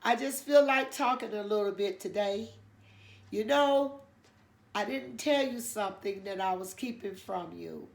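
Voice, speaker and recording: An older woman speaks slowly and rambles through a casual chat, full of pauses and fillers. Her voice is congested and nasal, with a gravelly fry underneath. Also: medium pitch. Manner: slow, rambling with many fillers. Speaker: storytelling channel host.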